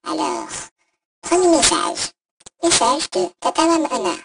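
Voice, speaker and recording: A woman's voice: very high-pitched, expressive, a little slow. A woman playing a cheeky, insulting robot voicemail service in an improvised comic skit, rambling and mock-official through a robot voice effect. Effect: robot voice modulator. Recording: distant, noisy phone app recording.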